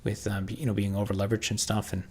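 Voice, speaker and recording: A man with a Canadian accent chatting casually on a livestream, answering viewers and thinking aloud in halting, restarting sentences. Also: lowish voice, flat delivery, a little mellow, breathy edge.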